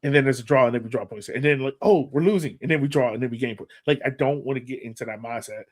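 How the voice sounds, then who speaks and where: A man's voice: medium pitch, fast. A man co-hosts a casual sports podcast. He speaks in a medium register with an emphatic fan's delivery. He often restates a phrase to make his point. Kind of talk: casual sports fan chat.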